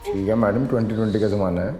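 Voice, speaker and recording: A man's voice: lowish voice, fast, mellow, close to an everyday voice. A man playing a stern, irritable police officer in a web series, curt, sarcastic and commanding. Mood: dry, impatient. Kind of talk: Hindi web series acting. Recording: echoey room, faint music behind.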